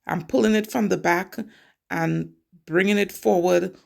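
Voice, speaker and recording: A woman's voice: on the low side, fairly even intonation, unhurried, creaky. A middle-aged woman from Trinidad and Tobago, a nail technician chatting casually as she explains each step of her work. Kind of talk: casual nail tutorial narration.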